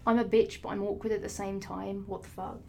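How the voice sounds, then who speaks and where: A young woman talks to camera in a loose, rambling monologue, her voice rough and hoarse. She is sassy and swears freely, often restarting her sentences mid-thought. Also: medium pitch, level tone, fairly quick, darkish tone, a little hiss or hum. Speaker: vlogger.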